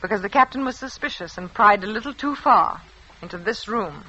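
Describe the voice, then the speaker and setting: Tense French resistance wife, muffled radio recording. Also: female voice, medium pitch, lively intonation, a little fast, sharp tone, husky. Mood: defiant, steely. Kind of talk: 1940s radio drama acting.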